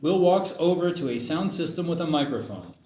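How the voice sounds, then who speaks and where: A man's voice: fairly high pitch, expressive, fairly quick, mellow. A man narrating stage directions at a screenplay table read. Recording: echoey room, muffled, faint noise.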